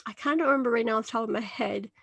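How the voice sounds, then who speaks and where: A woman's voice: on the high side, racing, gravelly, fairly bright tone, no room sound. A woman in her thirties from Venezuela, hosting a podcast interview in a bubbly, chatty way and laughing often between phrases. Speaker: podcast host. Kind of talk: casual interview chat.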